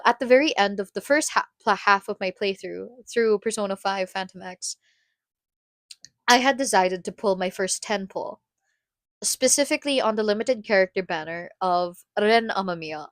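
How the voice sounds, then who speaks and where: A woman's voice: mid-range voice, no echo, studio quality. A woman from the Philippines chatting casually on a live stream, rambling and cutting herself off, laughing often.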